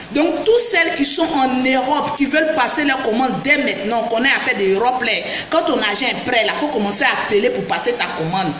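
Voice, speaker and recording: A woman with an Ivorian French accent, down with the flu, chatting on a live stream, greeting viewers by name and breaking into shouts. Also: sits high. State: has the flu. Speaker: beauty vlogger live-streaming. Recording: echoey room with background noise.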